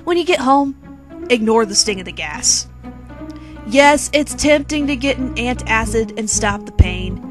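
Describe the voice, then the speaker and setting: High-voiced woman giving a sassy dramatic reading. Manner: theatrical, sassy, bossy asides to the listener. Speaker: narrator reading own humorous fan fiction. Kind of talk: dramatic reading of comic second-person story. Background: quirky music bed.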